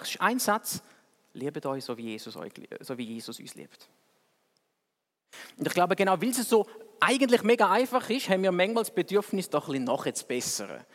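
Swiss german guy preaching, kinda high voice, chatty and dry-humored, long echo like a big hall. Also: a bit bright. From Switzerland. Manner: conversational, wry, speeds up and slows down for emphasis. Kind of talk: sermon.

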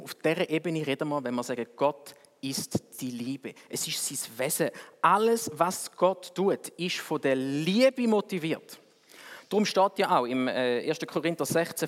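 Wry Swiss preacher in a reverberant hall. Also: male voice, fairly high pitch. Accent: Swiss German. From Switzerland. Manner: conversational, wry, speeds up and slows down for emphasis. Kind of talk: sermon.

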